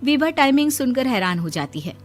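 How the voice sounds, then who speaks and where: Story narrator: woman, high voice with very lively intonation, scripted delivery, music underneath. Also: brisk.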